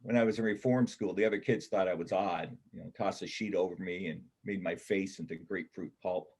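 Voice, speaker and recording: A man playing a wry, self-doubting ex-convict loner with a husky voice and blunt, colloquial speech in a reader's theater play. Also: low voice, fairly even intonation, fairly quick, a little mellow. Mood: confiding. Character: Kip, wounded ex-convict drifter, bookish loner, self-doubting. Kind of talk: stage reader's theater dialogue.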